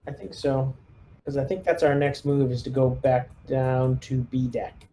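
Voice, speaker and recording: A man with a soft-edged, mellow tone talks casually as a player in a tabletop game. His pitch is average, and there is slight background noise. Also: little pitch movement, a little slow.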